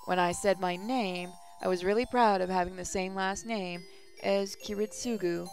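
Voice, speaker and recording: A woman's voice: medium pitch, steady tone, moderate pace. A woman hosting a gaming channel, reading visual novel text aloud in a casual way. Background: music.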